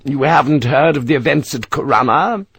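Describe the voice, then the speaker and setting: A man playing a weary, timid gentleman. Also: medium pitch, normal speed, gravelly, slightly rough, no echo, voice pushed up. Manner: weary, sad, tentative. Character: tall thin weary gentleman, timid and mild.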